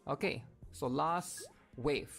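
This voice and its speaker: A man's voice: fairly high pitch, slow, vocal fry, music in the background. A man giving casual, explanatory gameplay commentary, often checking in with his viewers. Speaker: gaming video creator.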